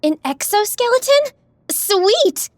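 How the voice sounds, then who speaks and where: Very high, eager young woman sidekick. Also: slow pace, no room sound, heavily acted. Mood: excited, awed. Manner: eager, openly expressive. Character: short, youthful, enthusiastic, easily awed woman sidekick. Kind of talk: dramatised science fiction audiobook acting.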